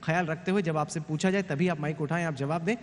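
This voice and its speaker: A man's voice: fairly high pitch, fairly even intonation, quick pace. A man moderating a live panel debate, firm and stern as he keeps the speakers in order. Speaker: debate moderator, news anchor. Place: large conference hall on stage. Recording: long hall reverb.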